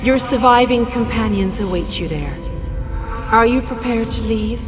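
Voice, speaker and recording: A commanding goddess, played by an actress, rather high in pitch and set in long hall reverb. Each phrase is grave, stately and formal, as in a fantasy game monologue, with music clearly behind it. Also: female voice, normal speed, natural voice. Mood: solemn, instructing. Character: solemn, commanding goddess addressing a mortal hero. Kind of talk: video game dramatic monologue.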